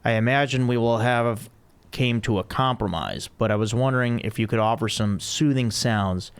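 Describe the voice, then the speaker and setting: A man hosting a laid-back podcast, reading listener mail and chatting loosely. His voice sits low and sounds smooth and clean. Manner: easygoing, reads listener emails aloud. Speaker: podcast host. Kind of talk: casual chat.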